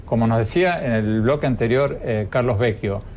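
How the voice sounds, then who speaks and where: A man anchoring a news program, presenting a report and putting measured questions to a guest. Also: mid-range voice, darkish tone, a little hiss or hum.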